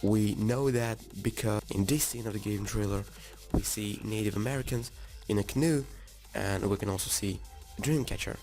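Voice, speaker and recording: A man with a raspy, hoarse voice from being sick, narrating an informal game preview for viewers with enthusiasm. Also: fairly low pitch, moderate pace, few pauses, a little mellow, soft background music. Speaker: gaming YouTuber.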